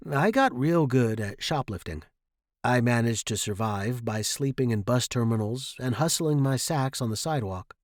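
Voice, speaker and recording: A man's voice: mid-range voice, lively intonation, normal speed, no echo, studio quality. A man reading a first-person short story dramatically, as its narrator.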